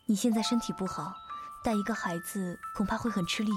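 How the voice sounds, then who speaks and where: A woman's voice: medium pitch, fairly quick, music in the background, lightly acted. A woman acting a courteous, composed and apologetic young woman in a Chinese audio drama. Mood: polite, considerate.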